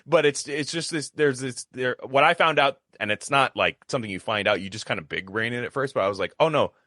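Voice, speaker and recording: A man's voice: mid-range voice, fairly quick, bright, forceful tone, dry recording, clean studio sound. A man chatting casually as a podcast host, rambling and retelling exchanges with quoted dialogue.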